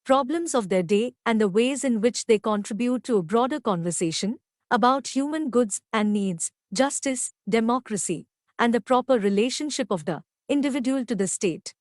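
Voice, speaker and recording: A woman reading scripted academic passages aloud in a measured, careful way, as a model for exam practice. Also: on the high side, lively intonation, no room sound, studio quality.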